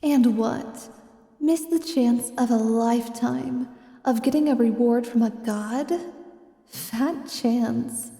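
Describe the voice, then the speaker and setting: High, mellow woman narrator with echo. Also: takes it slowly, gravelly. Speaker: narrator, game master. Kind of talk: scripted second-person fantasy narration.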